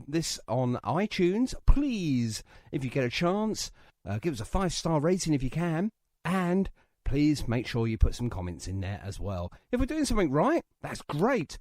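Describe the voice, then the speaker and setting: Animated, higher-voiced British radio host, a man. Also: no room sound, clean studio sound. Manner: chatty, jokey, self-deprecating. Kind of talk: informal radio presenting. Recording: music behind the voice. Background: music.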